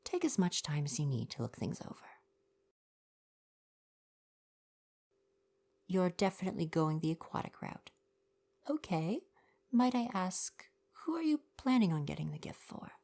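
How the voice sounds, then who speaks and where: A woman playing a kind, polite young merfolk shopkeeper with gentle sarcasm, softly spoken in an ASMR roleplay. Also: lowish voice, sing-song, normal speed. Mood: friendly, curious. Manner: polite, chatty, helpful customer-service phrasing. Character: kind young merfolk gift-shop keeper, gently sardonic.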